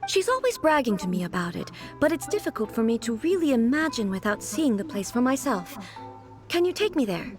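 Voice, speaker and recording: A woman's voice: fairly high pitch, sing-song, brisk. A woman voicing a regal, composed ruler in a video game, stately, formal and bluntly curious. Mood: wistful, curious. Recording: music behind the voice.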